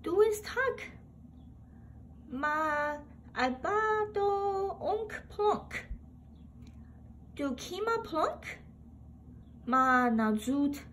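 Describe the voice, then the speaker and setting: A woman reading a children's picture book aloud in a deliberate way, acting out the exclamations. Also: very high voice, expressive, fairly bright tone. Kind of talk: children's read-aloud storytelling. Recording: home recording with background noise.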